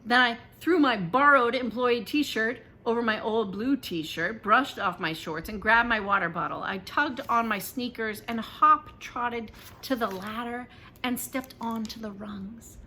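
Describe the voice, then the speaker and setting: A woman with a fairly high voice reads a children's story in the first person. Her delivery is animated and dramatic, leaning on key words. There is noticeable low, rumbling background noise in the recording. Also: measured pace. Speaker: author reading aloud.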